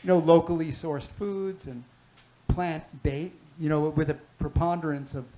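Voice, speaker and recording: A man hosting a health panel at a clinic event, asking questions haltingly with many fillers and restarts. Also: fairly high pitch, fairly even intonation, dark tone, low-quality recording. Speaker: panel host, clinic doctor. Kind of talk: moderating a live health panel.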